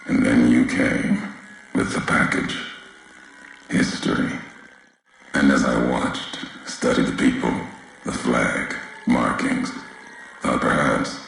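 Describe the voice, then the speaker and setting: An actor playing a brooding, vengeful wasteland courier in a video game, speaking gravely in slow, cryptic, clipped fragments with a gravelly, very deep voice. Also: male voice, steady tone, a bit bright, full character voice. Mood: brooding, haunted. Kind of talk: video game character dialogue. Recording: heavily echoing, faint background noise.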